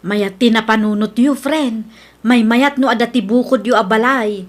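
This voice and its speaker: A woman's voice: fairly high pitch, normal speed, natural voice. An actress playing a chatty, reassuring young female friend in a radio drama. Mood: supportive.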